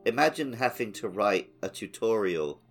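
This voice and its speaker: A man's voice: fairly low pitch, expressive, normal speed, faint music behind. A man co-hosting a podcast, chatting casually through a video game playthrough. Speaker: podcast co-host.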